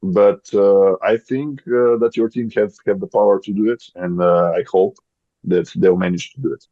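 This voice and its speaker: A man in his thirties with a Bulgarian accent, a podcast guest speaking English as a second language and often pausing to search for words. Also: on the low side, steady tone, no room sound, muffled recording. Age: 30s. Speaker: guest, volleyball player. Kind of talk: podcast interview.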